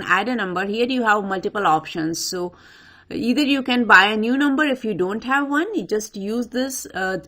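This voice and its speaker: A woman presenting an unscripted software tutorial, talking the viewer through each step in an instructional way. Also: medium pitch. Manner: instructional, addresses viewer directly. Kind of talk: step-by-step screen walkthrough, unscripted.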